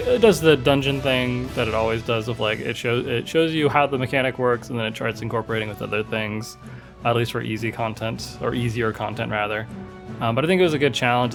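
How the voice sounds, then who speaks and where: A man giving rambling, casual commentary over his gameplay, with frequent ums and restarts. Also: medium pitch. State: sick with a cold, voice going. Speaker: gaming video creator.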